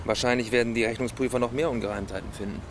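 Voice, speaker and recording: A man plays a senior detective in a radio crime play. He speaks tersely and matter-of-factly in a medium pitch, with clear urban traffic noise around him. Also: steady tone, rapid-fire, lightly acted. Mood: businesslike. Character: terse, matter-of-fact male chief police detective. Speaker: actor in a radio crime drama. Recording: loud urban street noise.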